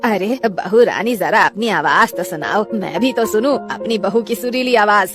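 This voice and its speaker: Lady putting on a nagging cartoon mother-in-law, loud and mocking, bit of music under. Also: mid-range voice, moderate pace, lightly acted. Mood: pleased. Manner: scolding, mocking. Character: scolding middle-aged mother-in-law, sharp-tongued. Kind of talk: cartoon voice acting.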